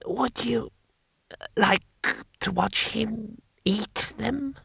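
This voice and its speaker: A man's voice: high-pitched, vocal fry, a bit bright, husky, no echo, clean studio sound, voice pushed up, heavily acted. A man voicing an ancient, gleefully cruel emperor in a thin, whispery old voice for an audiobook. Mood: gleeful cruelty. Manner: slow, halting, drawn-out. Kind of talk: audiobook character voice.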